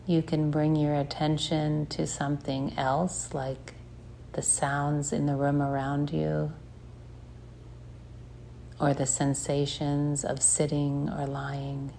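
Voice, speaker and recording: A woman guides a meditation in a low, mellow voice with a gravelly edge. She speaks slowly and gently, leaving long pauses between phrases, over a faint background hiss. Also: monotone. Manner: slow, soft, gentle guidance with long pauses. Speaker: meditation teacher.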